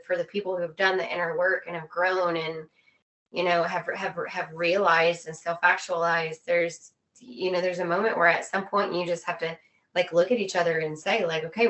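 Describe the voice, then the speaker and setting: A woman with a fairly low voice shares her opinions in casual conversation. She speaks earnestly and repeats phrases to drive her point home. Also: a bit bright.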